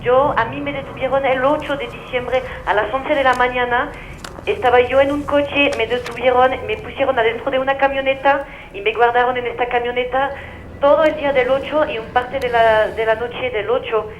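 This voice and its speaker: A woman's voice: sits high, fairly even intonation, moderate pace. An actress playing an urgent, indignant detained woman insisting on her account over a telephone. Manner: insistent, repetitive, emphatic. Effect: telephone filter. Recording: muffled echoey phone call, faint noise.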